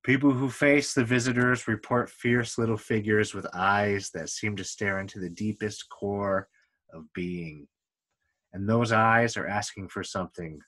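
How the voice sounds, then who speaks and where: A man with a fairly low voice reads from a book. He delivers the text deliberately, phrase by phrase, leaving pauses as he goes. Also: a bit bright. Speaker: book reader. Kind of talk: reading a book aloud.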